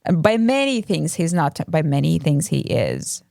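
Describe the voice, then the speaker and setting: A woman's voice: deep voice, very animated, moderate pace, gravelly, darkish tone, no room sound, clean studio sound. A woman from Russia with a Russian accent, co-hosting a casual book podcast and talking hesitantly, with many ums and restarts. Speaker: podcast co-host. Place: home room with a cat.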